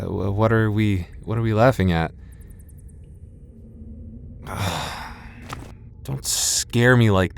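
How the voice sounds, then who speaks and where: A man playing a timid, soft-hearted young knight in an ASMR fantasy roleplay, stammering nervously and putting himself down. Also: sits low, vocal fry, slight background noise, heavily acted. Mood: nervous, relieved. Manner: stammering, self-deprecating, nervous.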